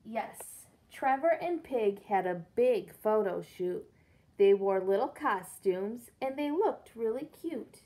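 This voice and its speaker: Woman, 30s, teacher read-aloud: higher voice, unhurried, expressive, simple wording for little listeners. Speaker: teacher reading to young pupils. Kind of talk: picture-book read-aloud with questions to children. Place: indoors, small room against a brick-patterned wall.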